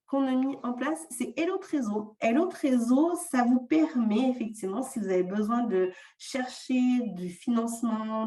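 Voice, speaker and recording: A woman's voice: on the high side, dark tone, roomy sound, recorded from a distance. A woman with a slight non-French francophone accent presenting an online workshop on tools for freelancers, explaining with frequent fillers.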